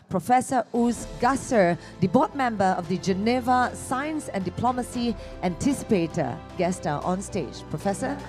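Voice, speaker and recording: A woman's voice: medium pitch, darkish tone. A woman hosts a conference stage and introduces the next keynote in an upbeat, announcing manner. Faint music plays underneath her voice. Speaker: event host.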